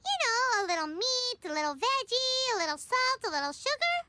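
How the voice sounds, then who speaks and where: A woman voicing a small, excitable, blunt childlike fairy companion in a video game. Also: very high-pitched, fairly quick, slight background noise, full character voice. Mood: curious, chirpy. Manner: refers to herself in third person. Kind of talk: video game character dialogue.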